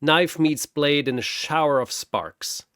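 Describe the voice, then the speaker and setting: A man's voice: a little high, slow pace, a bit bright, clean tone, no echo, studio quality. A man reading dramatic scripted story narration in a theatrical, punchy way.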